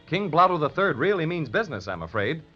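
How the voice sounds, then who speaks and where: A man's voice: a little high, sing-song, fast, breathy edge. A man narrating a 1930s children's radio serial as its announcer, speaking to young listeners like a theatrical storyteller. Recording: muffled old 1930s radio recording. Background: music.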